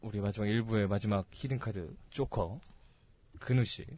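A man's voice: lowish voice, normal speed, a little mellow. A young man hosting a casual comedy talk show, teasing his guests in a playful way. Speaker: host.

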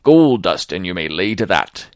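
A man's voice: deep voice, sing-song, moderate pace, no echo, clean studio sound. A man doing a crafty old pirate cook. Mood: urgent coaxing. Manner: coaxing, urgent, old-sailor dialect.

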